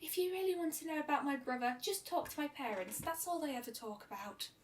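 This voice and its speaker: A woman's voice: high-pitched, expressive, fairly quick, slight background noise, pitched above the performer's own voice. A woman voicing a shy young female pegasus pony in a fan fiction story, timid and easily flustered. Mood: scornful. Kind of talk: amateur fan fiction reading. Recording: roomy echo, distant microphone.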